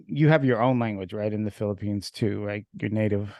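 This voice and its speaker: A man from Ukraine whose first language is Russian, hosting a podcast over a video call in relaxed, filler-heavy chat. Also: fairly low pitch, fairly quick, no room sound, studio quality. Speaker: podcast host. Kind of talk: casual interview chat.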